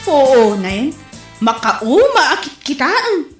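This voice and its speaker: Lady playing sour jealous ilocano filipino gossip, high voice, echoey comedy drama. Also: very animated, slow pace, faint music behind, heavily acted. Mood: bored, scornful. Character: sour, envious, gossiping daughter who mocks vloggers. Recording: roomy, echoing home recording.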